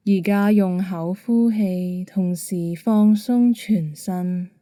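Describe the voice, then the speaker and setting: A woman with a Hong Kong Cantonese accent, gently guiding a bedtime meditation in a calm, soothing manner. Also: medium pitch, takes it slowly. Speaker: meditation guide. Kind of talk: guided bedtime meditation narration.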